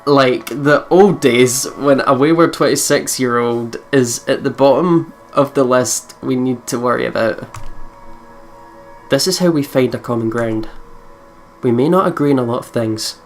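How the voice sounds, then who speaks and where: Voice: mid-range voice, unhurried, faint music behind. A let's play host reading a visual novel's narration steadily and laughing often between lines.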